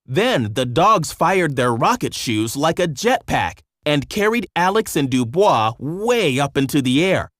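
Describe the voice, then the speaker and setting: A man narrating a scripted children's storybook in an expressive, measured storytelling manner. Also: fairly high pitch, no room sound, studio quality.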